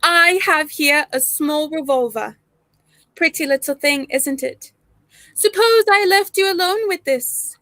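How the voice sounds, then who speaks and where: Actress as genteel spy villainess, 1930s radio drama: high-pitched, slow, deliberate menace. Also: female voice, bright, forceful tone, no room sound, full character voice. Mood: silkily threatening. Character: suave, menacing female professor and secret spy. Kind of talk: 1930s radio play acting.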